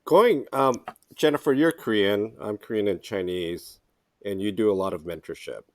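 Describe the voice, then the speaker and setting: A man's voice: fairly low pitch, lively intonation, normal speed, no echo, studio quality. A man asking questions conversationally as a podcast interviewer.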